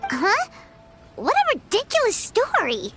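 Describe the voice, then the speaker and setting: Game fairy companion: childlike, squeaky, extremely high-pitched, lively and suspicious. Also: female voice, unhurried, over music, exaggerated voice. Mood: indignant. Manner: squeaky, refers to itself in third person. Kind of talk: video game voice acting.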